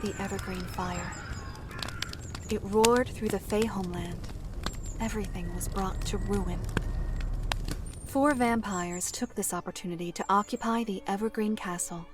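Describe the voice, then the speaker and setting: A woman playing a reserved, composed female storyteller in a fantasy audio drama, speaking in a measured, formal, precise way with a dry, stern edge. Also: mid-range voice, fairly even intonation, noisy background, lightly acted. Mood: solemn storytelling. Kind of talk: fantasy visual novel audio drama acting.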